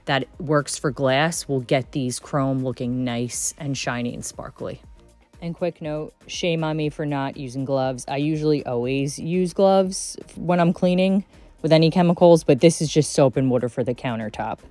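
A woman's voice: low-pitched, normal speed, a little mellow, faint music behind. A woman in her thirties narrating a cleaning vlog in a casual, chatty, enthusiastic way, often saying "like.". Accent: Texan. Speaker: cleaning vlogger.

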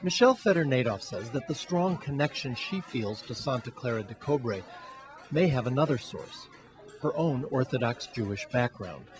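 A man narrating a TV news feature as producer and reporter, in a measured scripted delivery. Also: medium pitch, lively intonation.

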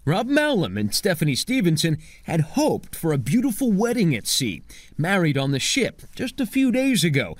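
A man's voice: on the high side, wildly swooping pitch, moderate pace, no echo. A man reporting a news story as correspondent, with a dramatic, emphatic scripted delivery.

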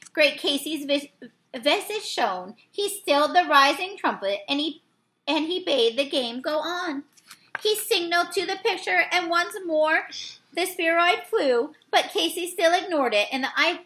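A woman with a high, bright voice reads verse aloud from a picture book. Her delivery is halting, with the odd misreading that she goes back to fix. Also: lively intonation, low-quality recording. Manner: halting, with misreadings and self-corrections. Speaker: read-aloud reader. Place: small indoor room.

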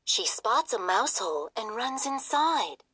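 A woman reading a children's story aloud in an expressive, theatrical manner. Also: a little high, a little slow, slightly rough, dry recording, low-quality recording. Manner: animated, theatrical. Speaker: storybook reader.

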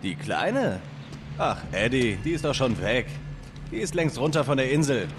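Game role: man as wisecracking male adventurer, mid-range voice, very animated intonation, wry and sarcastic. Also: medium pitch, moderate pace, over music, lightly acted. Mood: defiant sarcasm. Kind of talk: cinematic video game voice acting, German dub.

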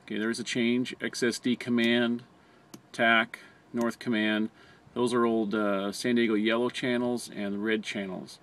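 An American man narrates an instructional video in a plain, step-by-step way. His voice sits at an average pitch for a man. It is an amateur camera recording with some noise behind the voice. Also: level tone, unhurried, a bit bright. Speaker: fire department communications trainer. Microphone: handheld camera or phone close by. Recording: noticeable background noise, amateur camera audio.

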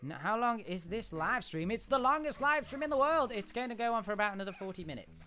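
A young man hosting a casual game livestream. He is chatty and rambling, with a slightly croaky voice. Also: high-pitched, big pitch swings, fast talker, bright, forceful tone. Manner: chatty, rambling, often restarts and hums. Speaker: gaming livestream host. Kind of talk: casual live commentary. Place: home room. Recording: audible background noise.

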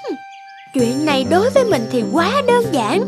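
A woman voicing a haughty, scheming young sea goddess in an animated cartoon, with a smug, taunting, theatrical manner. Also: very high-pitched, normal speed. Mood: smug, gloating. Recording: loud background music.

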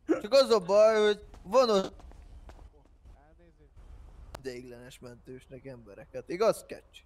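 Slightly high-voiced young man. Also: big pitch swings. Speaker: online roleplay game player. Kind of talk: casual gaming chat.

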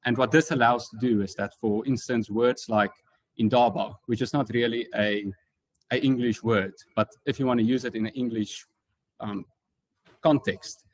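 A man's voice: medium pitch, steady tone, moderate pace, no echo. A man with a South African accent presenting an informal technical tutorial as a conference speaker, explaining things in a casual way. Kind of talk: informal technical talk with slides.

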